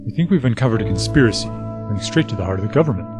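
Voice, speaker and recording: A man's voice: fairly low pitch, lively intonation, very fast, lightly acted. A man playing an earnest, respectful former companion of an adventurer in an audio drama. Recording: music bed under the dialogue.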